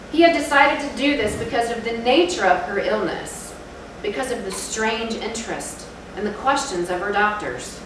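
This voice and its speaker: A woman giving a measured, solemn dramatic narration of a gothic story in a stage reading. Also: mid-range voice, a bit bright, natural voice. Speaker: narrator in a readers' theatre. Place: live theatre stage, recorded from afar. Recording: very echoey, distant, noisy hall.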